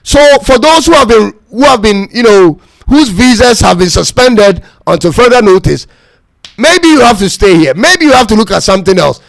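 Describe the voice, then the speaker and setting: A man's voice: high voice, lively intonation, moderate pace, gravelly, dry recording, low-quality recording. A man hosting a casual live-stream talk show, musing and chatting to his audience. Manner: chatty, reflective, addresses "ladies and gentlemen". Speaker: host.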